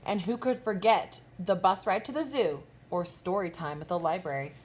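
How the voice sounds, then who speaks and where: A woman kindergarten teacher reading a picture book aloud expressively, pausing to ask young listeners questions. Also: fairly low pitch. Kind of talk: expressive children's storytime read-aloud with asides.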